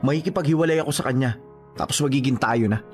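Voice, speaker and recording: A man's voice: medium pitch, quick pace, faint music behind, lightly acted. A man acting a young lovelorn suitor in a Filipino radio drama, emotional and exclamatory, by turns teasing and pleading. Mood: hurt, urgent.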